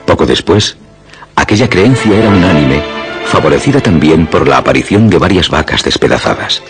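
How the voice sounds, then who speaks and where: Male narrator, dramatic radio storytelling, bass-deep, animated intonation, background music. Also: moderate pace.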